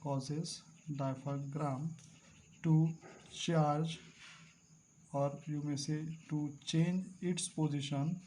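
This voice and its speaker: A male teacher explaining a device to students, repeating key terms slowly and clearing his throat now and then. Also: fairly high pitch, steady tone, dark tone, a little hiss or hum, low-quality recording. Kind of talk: classroom lecture at a board.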